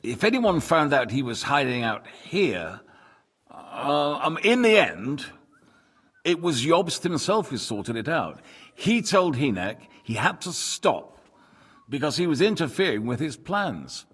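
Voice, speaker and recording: An actor in a video game cutscene playing a courteous, cautious lord of a medieval fortress, formal and guarded, with a husky voice. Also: male voice, on the high side, big pitch swings, a little slow, fairly bright tone, heavily acted. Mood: wry, cautious.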